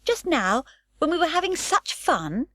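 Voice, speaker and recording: Cheeky little red-haired girl voiced by a woman, high, bright, excited, very lively, dry close sound. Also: unhurried, dry recording, exaggerated voice. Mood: disappointed.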